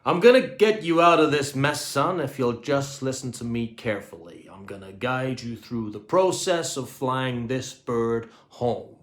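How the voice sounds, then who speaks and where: A man's voice: mid-range voice, animated, unhurried, hardly any pauses, reverberant, natural voice. A man voicing a nervous, fatherly rocket captain who coaxes and fusses. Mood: coaxing. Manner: coaxing, fussy.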